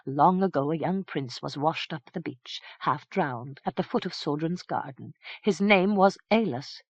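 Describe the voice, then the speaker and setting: An audiobook narrator voicing a sly, scheming priest who talks in a smooth, ingratiating way. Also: medium pitch, lively intonation, measured pace, no echo, dull audio. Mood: confiding. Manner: smooth, ingratiating, bleating when alarmed.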